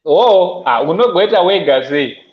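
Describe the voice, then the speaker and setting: A high-voiced man in his thirties hosts a birthday livestream from his couch, playful and quick to tease. His earphone mic picks up a roomy echo and dull, lo-fi call audio. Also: expressive. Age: 30s. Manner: playful, teasing, laughs often. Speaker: livestream host. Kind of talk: casual birthday livestream chat. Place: indoors at home, seated on a couch. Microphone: earphone headset mic on a video call.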